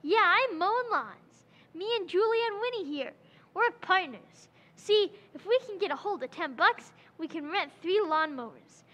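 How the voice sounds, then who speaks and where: Very high, bright woman as an eager teen boy, pleading, long reverb in the room. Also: animated, normal speed, dull audio. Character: eager teenage boy, enterprising and earnest. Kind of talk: old-time radio play recreation.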